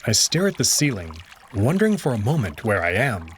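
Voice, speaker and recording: A man narrating a story in the first person in an expressive storytelling manner. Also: medium pitch, brisk, slight background noise. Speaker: audio drama narrator.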